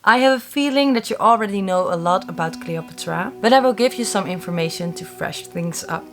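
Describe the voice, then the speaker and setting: A woman's voice: medium pitch, expressive, fairly quick. A young woman who hosts a mystery channel, telling history in a friendly, chatty way with wry little asides. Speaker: YouTube host of a mystery channel. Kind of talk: casual scripted talk to camera.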